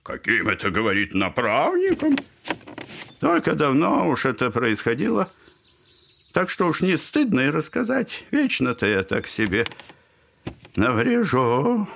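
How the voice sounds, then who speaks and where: A man's voice: mid-range voice, huge pitch swings, unhurried, vocal fry, a bit bright, a little hiss or hum, full character voice. An actor playing a garrulous, clownish old father in an audio drama, fawning and theatrical, rambling on with mock politeness. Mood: gleeful, clowning.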